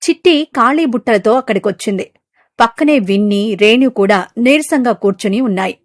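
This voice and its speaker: A woman narrating an animated moral story in a smooth, descriptive, scripted way. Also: a little high, brisk, no room sound, clean studio sound.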